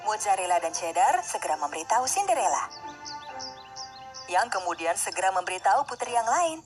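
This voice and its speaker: A woman's voice: mid-range voice, big pitch swings, a little fast. A woman narrating a scripted fairy tale for children. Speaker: storyteller, narrator. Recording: music under the voice. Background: music.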